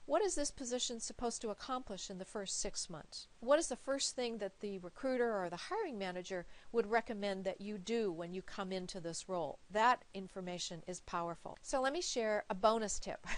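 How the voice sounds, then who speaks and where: A woman coaching viewers with practical advice in an emphatic, encouraging, conversational way. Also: mid-range voice. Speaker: recruiter giving interview coaching.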